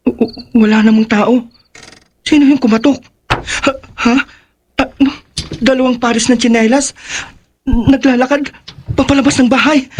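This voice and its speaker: A performer playing a frightened, evasive boy who stammers haltingly, in radio drama style. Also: mid-range voice, slight background noise, close to an everyday voice.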